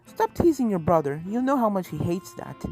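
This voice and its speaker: A reader voicing a female pony, a mild wife reproving someone gently, in a fantasy story over game music. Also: mid-range voice, big pitch swings, normal speed. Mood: gently scolding. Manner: mildly reproving.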